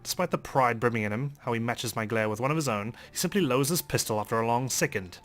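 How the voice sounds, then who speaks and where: Casting: male game narrator, theatrical wry first-person read, quick pace, music underneath. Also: mid-range voice, a bit bright. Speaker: let's play narrator. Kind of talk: dramatic first-person visual novel reading.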